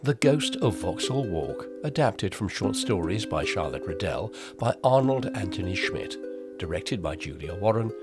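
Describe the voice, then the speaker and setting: A man's voice: deep voice, big pitch swings, moderate pace. A man narrating a scripted audio drama as a dramatic storyteller. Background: music.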